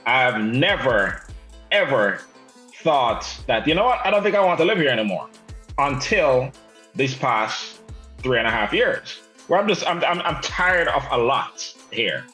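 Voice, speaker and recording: A man's voice: medium pitch, big pitch swings, a little slow, sharp tone, reverberant, faint music behind, rough recording. A man co-hosting a casual panel chat, joking and teasing the others. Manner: joking, teasing, breaks into laughter. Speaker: co-host.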